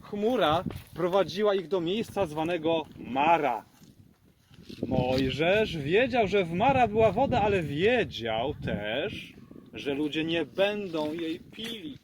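A high-voiced man tells a children's story with big melodic swings. His delivery is slow and dramatic, with drawn-out words. There is clear noise behind him, as if outdoors. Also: big pitch swings.

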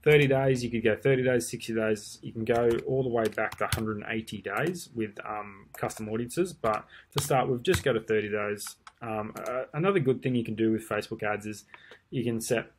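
Man, low voice, relaxed tutorial talk, rambling with ums. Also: brisk, a little hiss or hum. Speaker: marketing tutor. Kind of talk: casual screen-share tutorial. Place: indoors at a desk.

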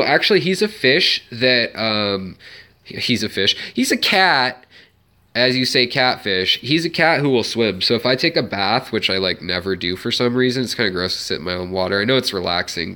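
Guy with a stuffy nose rambling to his live chat at home, bright clear tone. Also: lowish voice. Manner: rambling, chatty, many fillers. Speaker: aquarium channel host. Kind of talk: casual live stream chat. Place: home room by a fish tank.

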